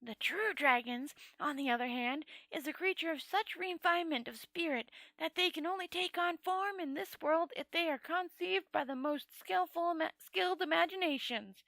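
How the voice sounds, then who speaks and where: A woman's voice: very high-pitched, moderate pace, few pauses, fairly bright tone, no echo. A woman voicing a dead old male wizard from a storybook, proud and rambling in a conversational, matter-of-fact way. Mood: explaining.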